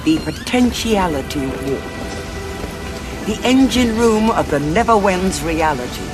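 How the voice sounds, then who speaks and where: Cold, swooping war strategist. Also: female voice, lowish voice, animated, music in the background. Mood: brisk, commanding. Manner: measured, imperious, precise. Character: cold, calculating senior Time Lord woman, ruthless war strategist. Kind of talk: audio drama acting.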